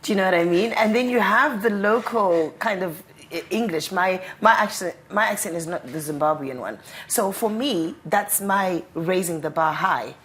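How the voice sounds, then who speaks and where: A woman's voice: on the low side, normal speed, hardly any pauses, fairly bright tone. A woman hosting a studio interview, chatty and probing, asking long winding questions. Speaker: talk show host.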